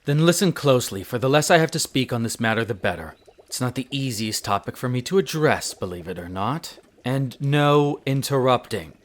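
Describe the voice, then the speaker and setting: A man's voice: mid-range voice, animated, lightly acted. A man playing a proud, prickly winter elf archaeologist who talks formally and haughtily in a scripted audio roleplay addressed to the listener. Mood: reluctant, bitter. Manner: haughty, formal, exasperated, theatrical pauses.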